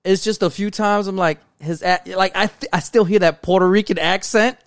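Slangy American man with a higher-pitched voice. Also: fairly even intonation, moderate pace, clear, resonant tone, no echo, studio quality. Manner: profane, slangy, opinionated. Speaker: podcast co-host. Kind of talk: casual chat about TV shows.

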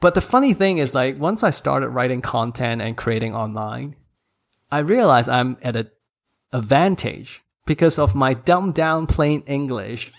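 A man's voice: mid-range voice, expressive, unhurried, gravelly. A man in his thirties with a Hong Kong accent, speaking English as a third language, chatting as a podcast guest in a candid, self-deprecating way with frequent laughs. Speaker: podcast guest, course creator. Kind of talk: casual interview chat.

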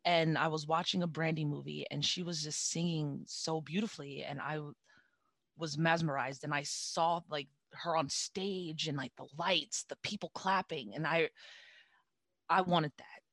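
A woman with a deep voice, a singer chatting as a guest in an interview. She talks reflectively and restarts her sentences often. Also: steady tone, fairly bright tone, dry recording. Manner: reflective, halting, with many restarts. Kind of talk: casual interview chat.

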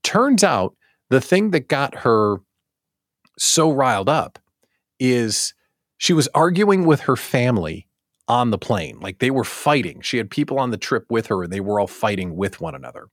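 A man hosting a casual live show, talking straight to viewers in an animated, theatrical way with exaggerated emphasis. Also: mid-range voice, normal speed, no echo, clean studio sound. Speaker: host of a daily live show for small business owners.

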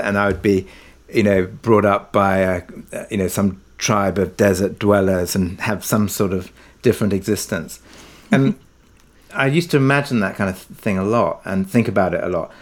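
A man speaking as an interview guest, telling stories in a reflective, halting, thoughtful way. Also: fairly low pitch, fairly even intonation. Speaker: interview guest, audio drama writer-director. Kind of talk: reflective conversational storytelling.

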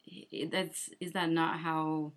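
A woman's voice: low-pitched, little pitch movement, slow. A woman co-hosting a podcast, chatting casually about films with lots of "like". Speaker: podcast co-host. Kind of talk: casual movie chat.

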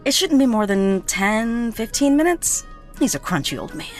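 A woman's voice: on the high side, big pitch swings, normal speed, faint music behind. A woman playing a breezy, confident, helpful character in a naturalistic audio drama. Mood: reassuring.

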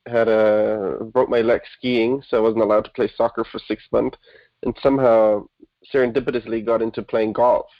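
A man's voice: fairly low pitch, flat delivery, normal speed, lo-fi sound. A man from Germany, a guest entrepreneur, giving organized, articulate interview answers. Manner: articulate, organized, explaining points in order.

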